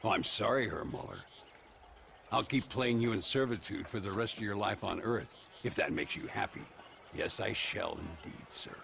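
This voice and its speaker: A man's voice: fairly low pitch, normal speed, vocal fry, pushed and bright, slight background noise, heavily acted. A man playing a genial, wry frontier gambler in a video game cutscene, florid and courteous.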